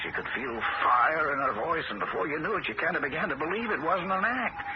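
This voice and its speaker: Brief: a man as a doubting narrator-hero in an old radio play, lively and plain-spoken. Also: mid-range voice, lightly acted. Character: skeptical wisecracking man telling his story. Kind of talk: 1970s radio drama acting. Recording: hissy muffled old radio broadcast.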